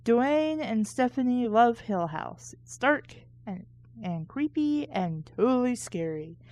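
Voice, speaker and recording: Slow-paced, mellow woman reading aloud. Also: a little high, lively intonation, dry recording. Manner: sarcastic, critical commentary. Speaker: host of a book reading show. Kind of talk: casual read-aloud with snarky asides.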